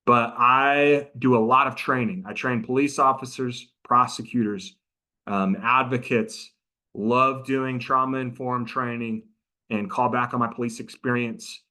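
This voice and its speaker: A man's voice: medium pitch, steady tone, a little slow, pushed and bright. A middle-aged man giving a casual, chatty training presentation over a video call as a prosecutor and trainer. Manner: casual, chatty, interactive with audience.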